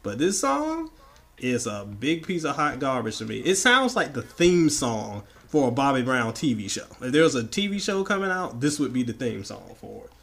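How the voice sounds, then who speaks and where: A man with a slightly raspy voice from the tail end of a flu, bantering emphatically and playfully as an opinionated podcast co-host. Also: medium pitch, very animated, moderate pace, fairly bright tone. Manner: playful, emphatic, repeats words for effect. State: getting over the flu.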